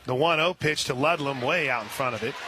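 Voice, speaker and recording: Male softball play-by-play man, noisy ballpark. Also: mid-range voice, brisk. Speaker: play-by-play announcer. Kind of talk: live radio softball play-by-play. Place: at a softball stadium. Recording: noisy live radio broadcast with stadium ambience. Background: clear background noise, ballpark ambience.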